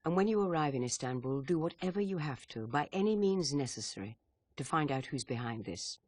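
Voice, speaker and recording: A man playing a grave senior intelligence chief in a video game cutscene, speaking in a formal, measured, authoritative way with a husky voice. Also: a little high, lively intonation, darkish tone, no room sound, studio quality. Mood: grave, businesslike.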